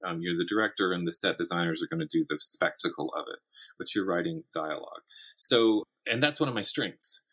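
A man's voice: sits low, brisk, a bit bright, husky. A man speaking as a guest writer in a conversational interview, thoughtfully explaining and often breaking off to rephrase himself.

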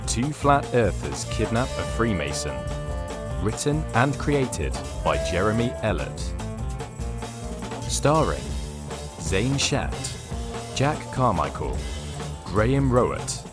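Male audio drama narrator, theatrical and wry, very lively pitch, clear music bed. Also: slow pace, pauses often. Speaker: narrator and announcer.

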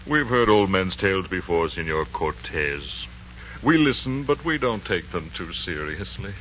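An actor playing a dismissive, mocking gentleman in a 1940s radio drama. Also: deep voice, lively intonation. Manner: mocking, drawn-out delivery. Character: condescending, dismissive gentleman mocking an old man. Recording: muffled 1940s radio, faint noise and hum.

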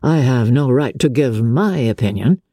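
Female reader as young period gentleman: dark husky tone, courteous, confiding, air of wounded dignity, classic novel reading. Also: rumbling low, expressive, normal speed, no room sound, clean studio sound. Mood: guarded. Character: genteel, smooth-talking young gentleman officer, wounded dignity. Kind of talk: dramatic audiobook character reading.

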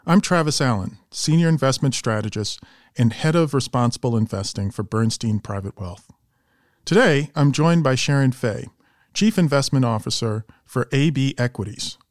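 A man's voice: medium pitch, expressive, moderate pace, no room sound. Male podcast host, dry close sound. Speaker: podcast host and investment strategist. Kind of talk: podcast interview, introducing and questioning a guest.

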